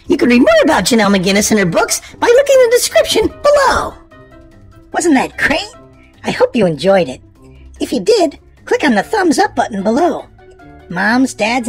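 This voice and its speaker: A woman hosting a children's read-aloud, narrating in an exaggerated, sing-song, expressive way for small children. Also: fairly high pitch, husky. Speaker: host and reader of a children's read-aloud show. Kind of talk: animated children's storybook narration.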